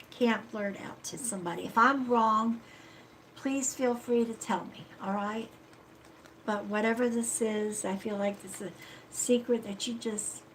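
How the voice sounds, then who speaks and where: A woman with a stuffy nose giving a live card reading, speaking in a slow, soothing, reassuring way and often checking in with "okay?" and "all right?". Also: medium pitch, fairly bright tone, slight background noise, low-quality recording. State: stuffy-nosed. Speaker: psychic card reader.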